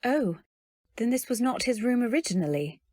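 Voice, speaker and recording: A woman's voice: a little high, expressive, normal speed, dry recording. Woman acting a timid young wife, close-miked. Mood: tentative. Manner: hesitant, gentle, polite. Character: timid, earnest young new wife narrating her tale. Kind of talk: old-time radio drama, first-person narration and dialogue.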